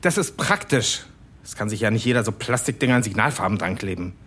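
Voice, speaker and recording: A man playing a blunt, sardonic office colleague with a husky, provocative delivery in an audio drama. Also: on the low side, lively intonation, fast talker, fairly bright tone. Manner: sarcastic, provocative.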